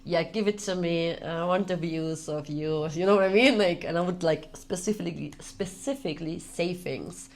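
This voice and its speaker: A woman's voice: fairly low pitch, normal speed, hardly any pauses. A woman hosting a casual interview, chatty, often finishing her guest's sentences and saying "like" a lot. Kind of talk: casual conversation.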